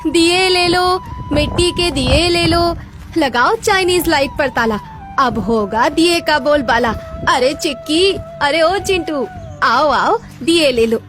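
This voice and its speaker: A woman's voice: sits high, takes it slowly, pitched above the performer's own voice. A woman voicing a plucky, devoted potter's wife in a Hindi cartoon story, with theatrical emphasis. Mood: cheerful hawking. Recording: background music throughout.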